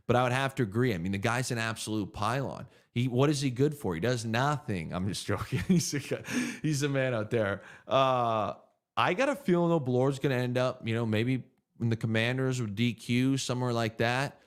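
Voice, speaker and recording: A man in his thirties hosting a casual livestream Q&A, laid-back and joking as he thinks aloud through viewer questions. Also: medium pitch. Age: 30s. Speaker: podcast host answering viewer questions.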